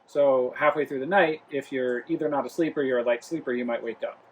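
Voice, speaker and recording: A young man hosts a casual review vlog from home and rambles through his thoughts with plenty of ums and restarts. His voice sits at a middle pitch, with a light hiss of room noise behind it. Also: brisk, lo-fi sound. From Rhode Island. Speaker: travel vlog host. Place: home, living room near the kitchen.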